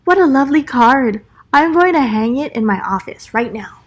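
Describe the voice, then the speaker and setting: Storybook museum director, friendly appreciative lady acted by a woman, high register, gushing. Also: animated, normal speed. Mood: delighted. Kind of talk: children's storybook character voice.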